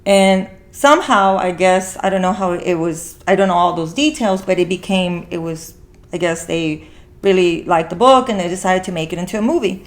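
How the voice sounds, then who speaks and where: A woman's voice: fairly low pitch, moderate pace. Rambling woman hosting a casual podcast. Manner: rambling, repeats and rephrases herself. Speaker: podcast host. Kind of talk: casual conversational podcast talk.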